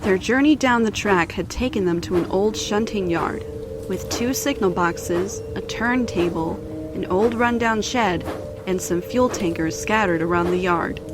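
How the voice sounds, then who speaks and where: A woman's voice: mid-range voice, a little slow, music in the background. A woman narrator reading a scripted children's story in storybook style.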